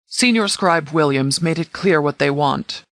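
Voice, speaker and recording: A man's voice: on the high side, a little slow, a bit bright, no room sound. Stern, formal sheriff in command , acted by a man. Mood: businesslike. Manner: formal, clipped, authoritative military phrasing. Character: stern, composed male sheriff commanding a militia department. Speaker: commanding officer. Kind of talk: scripted video game dialogue.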